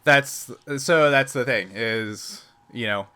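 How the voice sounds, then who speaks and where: A man's voice: mid-range voice, slow, pushed and bright, clear, resonant tone. A man acting as game master, explaining rules and narrating a tabletop game, often checking that he is understood.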